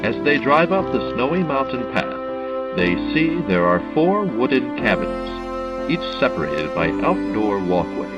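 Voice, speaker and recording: A man's voice: mid-range voice, very animated, measured pace, recorded from a distance. A man playing an ominous old-time radio mystery host, announcing in a theatrical 1930s style. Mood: ominous, inviting. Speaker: host and narrator of an audio drama. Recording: muffled old-time radio sound, loud music.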